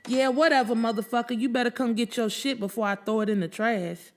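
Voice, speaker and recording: A woman's voice: fairly high pitch, steady tone, a little fast, voice pushed up, lightly acted. A woman voicing a furious, foul-mouthed, scorned hairstylist in an audiobook, confrontational and emphatic. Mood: bitter defiance.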